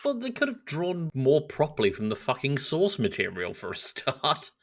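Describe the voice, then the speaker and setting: A man's voice: on the high side, wildly swooping pitch, moderate pace. A man co-hosting a podcast, chatting casually and bluntly. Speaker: podcast co-host. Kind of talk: casual chat.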